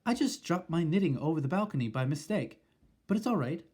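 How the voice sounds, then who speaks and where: A man voicing an elderly grandmother with a high, calm and composed voice. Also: fairly quick, breathy edge. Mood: falsely polite. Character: cool, kindly old grandmother, high-voiced.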